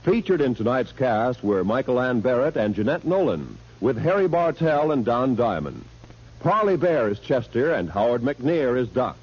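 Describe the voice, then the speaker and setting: A man's voice: mid-range voice, sing-song, moderate pace, husky. An American man announcing scripted credits for a 1950s radio show in a formal, declamatory way. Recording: dull old radio broadcast recording.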